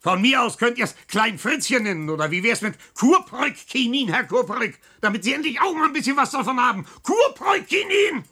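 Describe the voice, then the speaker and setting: An actor playing an irascible, domineering professor and chief physician in a German radio drama, blustering, sarcastic and impatient. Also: male voice, extremely high pitch, sing-song, moderate pace, few pauses, sharp tone, husky, full character voice. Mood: angry, sarcastic.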